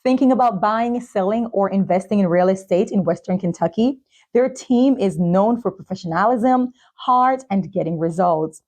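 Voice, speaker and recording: A woman speaks as the host of a talk show podcast. Her voice is mid-pitched with a warm, dark tone, and she handles both interviewing and presenting. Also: moderate pace. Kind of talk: interviewing and presenting sponsor messages.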